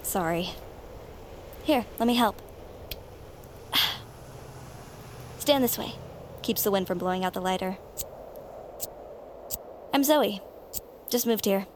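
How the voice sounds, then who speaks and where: A woman's voice: medium pitch, takes it slowly, pauses often, slight background noise, natural voice. An actress playing an eerie, pushy teenage girl who feigns friendliness with an insistent, wheedling manner in an audio drama. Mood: casual, sly. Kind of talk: naturalistic audio drama acting.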